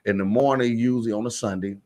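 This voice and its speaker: A man's voice: mid-range voice, steady tone, quick pace, darkish tone. An American man talking casually as a radio guest, plain-spoken and slangy, repeating phrases for emphasis. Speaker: stage playwright and director, radio guest.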